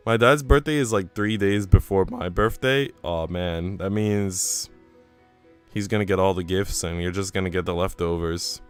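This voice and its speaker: A man with a low, smooth voice works as a game streamer, reading a visual novel aloud and chatting casually around it. His delivery is clean and crisp, with music playing behind him. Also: normal speed. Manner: chatty asides between narrated passages.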